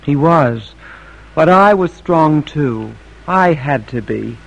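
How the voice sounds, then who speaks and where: A woman's voice: low voice, animated, slow, gravelly, exaggerated voice, low-quality recording. An actress playing an anxious, strong-willed, middle-aged colonial wife and mother, speaking with emphatic repetition in an old-time radio drama. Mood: wry, reflective. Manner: emphatic, repeating phrases for weight.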